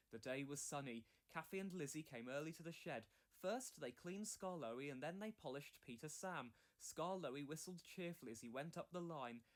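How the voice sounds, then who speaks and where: A man narrating a children's storybook from a script. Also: on the high side.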